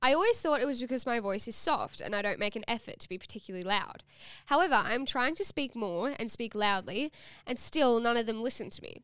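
A woman's voice: a little high, animated, brisk, a bit bright, smooth, no echo. A young woman hosting a podcast, chatting playfully and reading out a listener's letter. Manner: bubbly, giggly, teasing. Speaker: podcast host.

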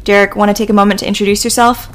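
A woman hosting a podcast who asks quick questions and teases her guest in a friendly way. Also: mid-range voice, flat delivery. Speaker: podcast host. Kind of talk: casual podcast interview.

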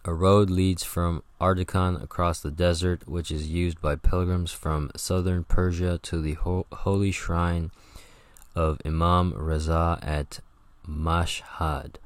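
A man's voice: low voice, level tone, slow pace, no echo. A man reading an old travel book aloud, stumbling over words and breaking off for casual, profane asides.

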